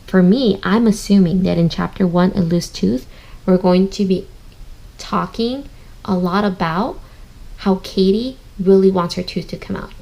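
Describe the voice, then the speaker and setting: A woman leads a children's reading lesson as a teacher. Her mid-pitched voice has noticeable vocal fry. She speaks straight to her young listeners and reasons out loud as she instructs. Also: unhurried, low-quality recording. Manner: instructive, addresses listeners directly, thinks aloud.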